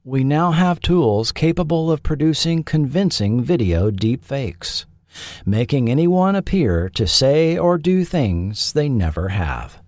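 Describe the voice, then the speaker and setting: A man delivers scripted documentary narration as host. His voice sits at a middle pitch with lively inflection, and each phrase is measured and articulate. The recording is close and dry. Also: dry recording.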